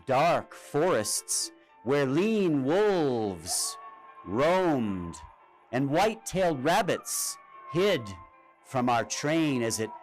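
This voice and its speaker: Slow, animated man reading a storybook. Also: a little high. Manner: expressive, dramatic read-aloud delivery. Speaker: storybook reader. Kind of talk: scripted children's storybook narration. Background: music and sound effects.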